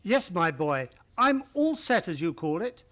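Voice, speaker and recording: A man playing a genial, chatty older gentleman storyteller in an old-time radio play, with courteous old-fashioned manners. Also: high-pitched, big pitch swings, a little slow, full character voice. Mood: genial, reminiscing.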